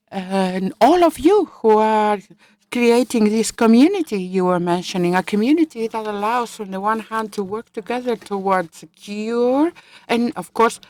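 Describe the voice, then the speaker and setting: A woman giving simultaneous interpretation of a conference speech, halting, with fillers and self-corrections. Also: medium pitch, darkish tone, no room sound.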